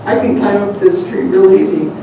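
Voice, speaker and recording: A man's voice: high voice, fairly even intonation, moderate pace, muffled recording. A man voicing a small, sly trickster rabbit who teases and coaxes, in a folk tale told aloud. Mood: coaxing. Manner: teasing, wheedling, mocking. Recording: distant, echoey, noisy live room.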